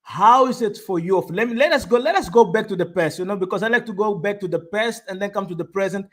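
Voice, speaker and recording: High-voiced host with halting, excitable delivery. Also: male voice. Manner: enthusiastic, repeats words, halting restarts. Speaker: host of a live online show. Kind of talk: casual interview.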